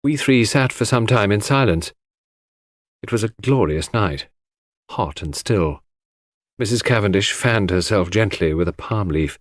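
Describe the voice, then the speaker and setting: A man narrates a literary story in the first person. His voice sits low and moves with a wide, animated range. The sound is dry and close. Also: measured pace, no echo. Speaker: audiobook narrator.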